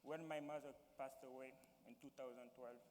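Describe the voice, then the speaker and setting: A man's voice: medium pitch, steady tone, a little mellow. Young Malian man in a reverberant hall. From Mali. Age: young adult. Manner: halting, searching for words in English. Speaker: youth panelist. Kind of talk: personal testimony at a town hall. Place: large hall. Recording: reverberant hall with faint noise.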